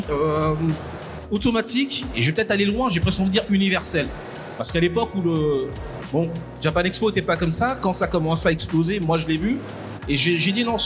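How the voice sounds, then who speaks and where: A man from Congo, a guest on a live podcast panel, explaining his ideas in a halting way and repeating words as he thinks. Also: high voice. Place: convention stage before a live audience. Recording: noisy live event ambience.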